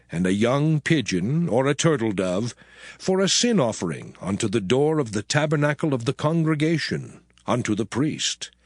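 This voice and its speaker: A man voicing the Lord giving laws in a dramatized scripture reading, deliberate and emphatic. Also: medium pitch, no echo, studio quality.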